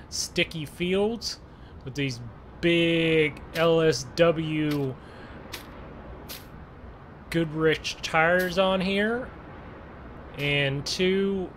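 A man hosts a gameplay video in a casual, conversational manner. His voice sits a bit high and he talks very slowly, leaving pauses. Faint vehicle noise runs behind him. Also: fairly bright tone. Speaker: gaming video host. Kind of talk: casual role-play gameplay commentary.

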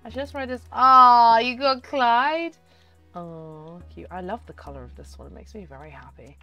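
Brief: young woman, hoarse from a cold, lively up-and-down gaming chatter, soft game music under. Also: on the high side, moderate pace, highs cut off. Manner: chatty, talks to the game and viewers. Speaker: gaming channel host. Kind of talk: casual game commentary. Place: home room, indoors. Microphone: desk microphone on stand.

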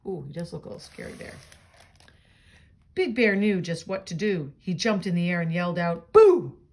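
A woman with a slightly low voice reads a picture book to children. Her delivery is expressive and aimed at young listeners, mixing story lines with chatty little asides. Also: moderate pace. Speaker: storybook reader.

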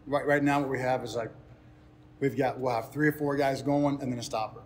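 A man's voice: medium pitch, fairly even intonation, brisk. A man in his thirties speaks as a coach answering the press. He explains things earnestly in loose, unscripted phrases that he often repeats. Faint ambient noise sits behind him. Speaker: head baseball coach.

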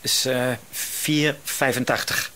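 An actor playing a paranoid, quietly menacing male shopkeeper in a Dutch radio drama, hesitant yet insistent. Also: mid-range voice, fairly even intonation. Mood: wary, suspicious. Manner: hesitant, insistent, suspicious, drawn-out words.

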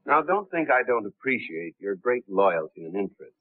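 Suave gentleman detective, lively intonation, tinny vintage film sound. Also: fairly low pitch, moderate pace, no echo. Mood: assured. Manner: suave, breezy, self-assured. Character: suave confident wealthy male gentleman detective. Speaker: wealthy gentleman hero, Lamont Cranston. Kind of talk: 1930s film acting.

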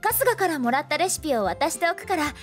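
A woman playing an earnest, kind-hearted young woman, gently fussing and expressive, in a radio drama. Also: sits high, fast. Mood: gentle, fussing. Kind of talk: anime-style radio drama acting. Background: music.

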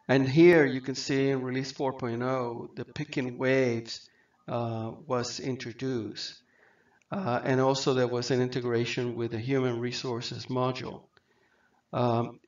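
A man giving a software training lecture over slides, halting often with fillers and restarts. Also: mid-range voice, far from the mic. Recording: dull lo-fi mic, set back.

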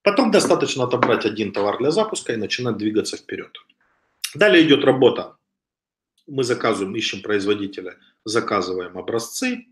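A man's voice: fairly low pitch, a bit bright. A man in his thirties giving a webcam lecture over slides, talking casually with frequent hesitations and a slight cough from a cold. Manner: conversational, with frequent hesitations and restarts. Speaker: online business instructor. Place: home room with wooden shelves. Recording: echoey room on a webcam.

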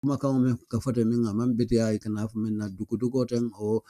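Older gambian guy doing the daily news at a studio desk, mellow low-key voice, dry close mic. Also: level tone, quick pace, dry recording. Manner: reads newspaper stories, mixing English phrases into his talk. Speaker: news presenter. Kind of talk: news reading and commentary. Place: small studio desk indoors. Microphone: studio mic on a boom arm, close.

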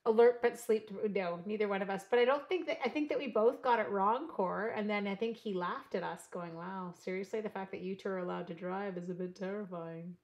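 A woman's voice: medium pitch, brisk, hardly any pauses, dull audio. A woman from Canada hosting a live card-making stream, chatting and reading comments while she cuts. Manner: rambling, animated, reads chat comments aloud. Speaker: card-making livestream host. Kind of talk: chatty live crafting demo. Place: craft room at a desk.

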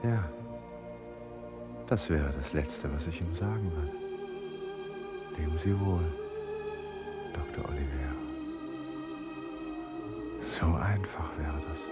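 An actor playing a brooding, resolute man confined in an asylum in a German radio play, speaking in a deliberate, introspective way. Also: sits low, huge pitch swings, over loud music, heavily acted. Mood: wistful, dreaming. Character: brooding, resolute male traveller confined in an asylum, torn by guilt. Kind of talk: 1950s-70s German radio play, monologue and dialogue.

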